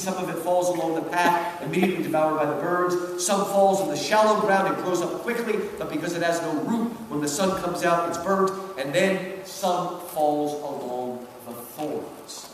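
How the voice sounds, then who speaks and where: A man's voice: high-pitched, moderate pace, hardly any pauses. A man in his fifties giving an emphatic public lecture, putting questions to his listeners and checking that they follow. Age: 50s. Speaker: lecturer, professor. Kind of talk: animated public lecture commentary. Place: large indoor hall. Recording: echoey hall, distant mic, background noise.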